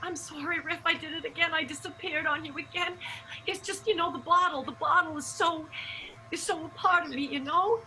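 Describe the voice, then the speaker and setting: A woman's voice: extremely high pitch, brisk, bright, forceful tone, a little hiss or hum, poor audio. A woman playing a troubled, devoted hard-drinking lover in an improvised radio soap, melodramatic and urgent. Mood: remorseful, tearful.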